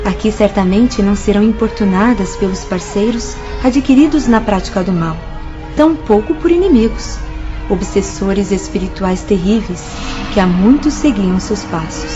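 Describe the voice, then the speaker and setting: A woman's voice: mid-range voice, moderate pace, natural voice. A woman playing a composed, earnest female spirit worker in a Brazilian radio drama, speaking formally with a Brazilian accent. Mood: earnest, concerned. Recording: muffled with music behind.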